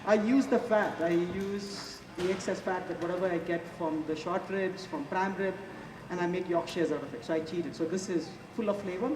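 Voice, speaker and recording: Male chef, forties, Indian accent, chatty halting demo delivery, distant mic in a reverberant space. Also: high voice. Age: 40s. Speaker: chef giving a cooking demonstration. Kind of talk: casual live cooking demonstration. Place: large echoey event space before an audience. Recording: echoey, noisy public hall, distant mic.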